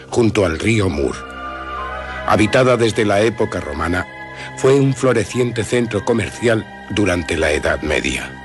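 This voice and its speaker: A man with a Castilian Spanish accent reading scripted documentary narration in a formal, factual way. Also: on the low side. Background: music.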